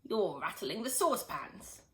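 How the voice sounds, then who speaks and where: A woman voicing a shrill, nagging old grandmother in a children's storybook reading. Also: very animated, unhurried, bright, forceful tone, roomy sound. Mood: scolding. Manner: scolding, suspicious.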